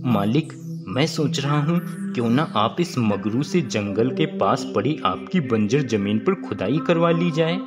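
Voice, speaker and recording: A conniving servant, acted by a man, speaks deferentially to his master at a measured pace. He is crafty and flattering, a cartoon schemer with music behind his voice. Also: medium pitch, voice pushed down, close to an everyday voice. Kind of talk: Hindi moral-story cartoon dub.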